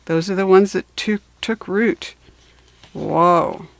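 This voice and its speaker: Slow-paced woman reading a storybook aloud. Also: fairly low pitch, gravelly, no echo. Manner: patient, deliberate, chatty asides to listeners. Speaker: story reader. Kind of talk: slow read-aloud storybook narration for beginners.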